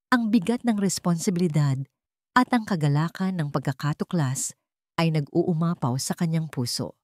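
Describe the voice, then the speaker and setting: Filipino woman narrating, low-pitched and dry. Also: a little mellow, no room sound. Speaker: storyteller. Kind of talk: scripted dramatic story narration.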